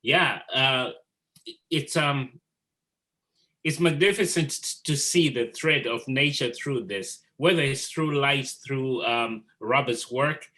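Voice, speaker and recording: A man's voice: medium pitch, takes it slowly, bright, forceful tone. A man hosting an informal group art discussion, speaking earnestly and affirmingly as he moderates. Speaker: host of an artist talk.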